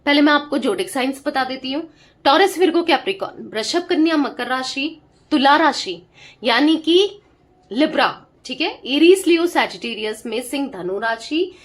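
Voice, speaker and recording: A woman's voice: sits high, expressive, normal speed. A young woman giving a tarot reading straight to the viewer. She has a sore throat from a cold and talks emphatically, repeating herself and often checking in with the viewer. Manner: emphatic, repetitive, talks straight to the viewer with frequent "theek hai?". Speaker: tarot reader. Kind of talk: direct-to-camera tarot reading.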